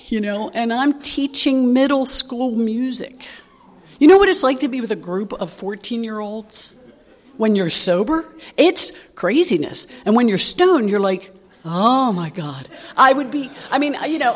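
Lady in her 60s sharing her story at a meeting, lots of ums and restarts, plain mid voice. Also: medium pitch. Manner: candid, rambling storytelling with frequent fillers. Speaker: recovery meeting speaker. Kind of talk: personal testimony to an audience.

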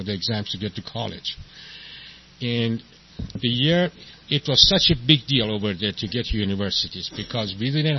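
Iranian-accented man in his sixties. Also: mid-range voice. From Iran. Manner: halting, self-deprecating, many fillers and restarts. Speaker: main speaker at a recovery meeting. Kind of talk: personal testimony at a meeting. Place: meeting hall with an audience. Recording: dull lo-fi recording with faint noise.

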